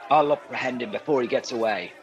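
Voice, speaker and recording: A man playing an earnest, righteous, protective gentleman in a radio play, speaking formally and theatrically. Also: medium pitch, quick pace, highs cut off, a crowd in the background, natural voice. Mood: indignant.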